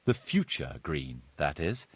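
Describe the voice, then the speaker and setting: An actor playing a formal, courtly medieval land surveyor in a video game, speaking precisely and deferentially. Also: male voice, rumbling low, big pitch swings, slow pace, creaky, husky, dry recording, heavily acted. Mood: formal, assured. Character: formal, courtly medieval locator Marius, eager planner and surveyor. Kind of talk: video game cutscene acting.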